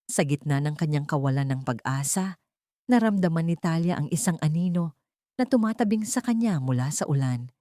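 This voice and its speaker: A woman's voice: sits low, dark tone, no room sound. A woman narrator reading a dramatic scripted story as a close voice-over.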